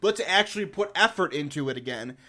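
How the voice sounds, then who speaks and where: A man with a fairly high-pitched voice hosts a casual video commentary. He talks informally and with enthusiasm, in a rambling way. He often restarts and repeats words as he works through his thoughts. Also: lively intonation, a little fast, sharp tone. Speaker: host of a game lore review channel.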